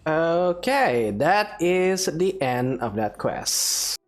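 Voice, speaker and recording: A woman's voice: low voice, very animated, extremely slow, soft background music. A woman hosting a gaming video with casual gameplay commentary.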